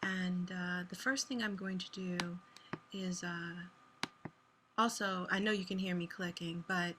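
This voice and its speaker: A woman with a soothing voice hosting an online poetry event over a video call, speaking in a gentle, reassuring and sincere way. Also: lowish voice, steady tone, measured pace, background noise. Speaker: host and poet.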